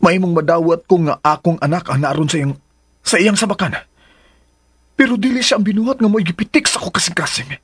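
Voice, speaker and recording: A man's voice: high-pitched, a little fast, slightly rough, no room sound, studio quality. An actor playing a troubled young man in a radio drama, brooding aloud over his dilemma. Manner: brooding soliloquy.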